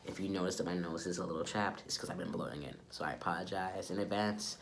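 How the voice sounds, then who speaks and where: A young adult man with a congested, stuffy-nosed sound from a cold, giving a casual, low-key update to camera. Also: fast, vocal fry. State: sick with a stuffy nose. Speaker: vlogger.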